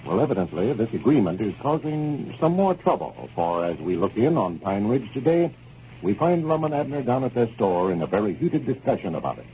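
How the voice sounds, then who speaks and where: A man's voice: fairly low pitch, very animated, fairly quick, mellow. An American man announcing a 1930s radio show, reading scripted sponsor copy and story recaps. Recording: dull, noisy 1930s radio recording.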